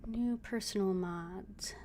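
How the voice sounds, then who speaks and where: A woman streamer talks through her gameplay in slow, quiet, half-whispered asides, thinking aloud to herself. Her voice sits mid-range, with clear noise running under the stream. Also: mid-range voice. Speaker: streamer playing a video game. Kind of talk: live gameplay commentary.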